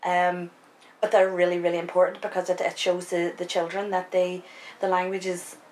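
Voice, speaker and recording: Low-voiced woman explaining. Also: level tone, moderate pace, a little mellow, slight background noise, low-quality recording. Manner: explanatory, with hesitant restarts. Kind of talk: documentary interview.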